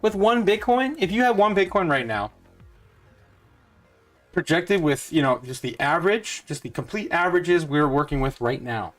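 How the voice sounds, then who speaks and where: A man's voice: a little high, animated, normal speed, faint music behind. A man in his thirties hosting a crypto channel. He walks through a retirement calculator in a loose, rambling way, with many fillers and restarts, and he sounds sure of his views. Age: 30s. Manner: upbeat and certain in his views. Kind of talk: casual talk over a retirement calculator.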